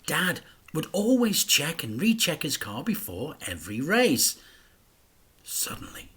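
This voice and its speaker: A man, a teacher, reading a children's story aloud, shifting between hushed whispers and loud acted-out crash sounds. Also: mid-range voice, breathy edge. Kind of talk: dramatic storybook read-aloud.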